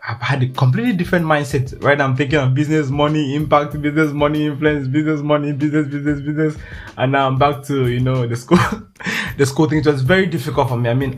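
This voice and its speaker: A young Nigerian man hosting a solo podcast, talking in a chatty way with frequent laughs and restarts. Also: mid-range voice. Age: young adult. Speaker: podcast host.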